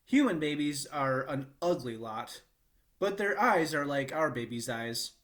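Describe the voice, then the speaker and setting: A man reading a children's book aloud in a measured, expressive storytelling manner with short pauses. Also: a little high. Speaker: read-aloud reader.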